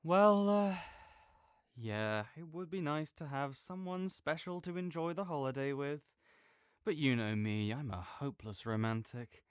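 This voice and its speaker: A young man playing a caring, easygoing friend who speaks gently and reassuringly to the listener in an audio roleplay, with some soft joking. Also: a little high, no room sound, studio quality.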